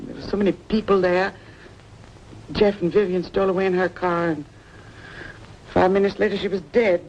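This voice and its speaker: An actress playing a kindly, gossipy older aunt in a mid-century drama, confiding and hesitant in a creaky voice. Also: female voice, lowish voice, a little mellow, lightly acted. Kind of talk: mid-century American film drama acting. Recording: dull, noisy vintage soundtrack.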